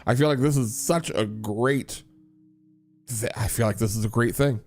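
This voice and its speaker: A man's voice: medium pitch, normal speed, darkish tone, soft background music. A man hosting a livestream, chatting casually and rambling with many restarts as he answers viewers. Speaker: livestream host and worship leader.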